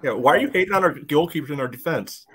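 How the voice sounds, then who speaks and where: A man's voice: on the high side, animated, fast, fairly bright tone. A man chatting casually about sport as a panelist, dry and matter-of-fact, ready to disagree. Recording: clearly noisy background.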